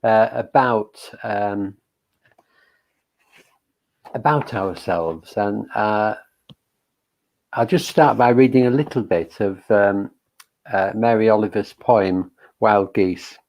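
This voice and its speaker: A man with a very deep, low voice leads a meditation session with a reflective Buddhist talk. He speaks slowly and gently, thinking aloud as he goes, with frequent pauses and fillers. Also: poor audio. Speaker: meditation leader.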